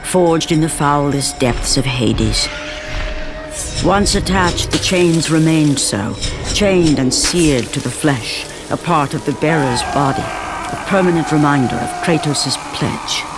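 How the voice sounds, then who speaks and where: A grave narrator telling a mythic tale in measured, solemn scripted narration for a video game cutscene. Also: mid-range voice, music in the background. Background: loud orchestral score.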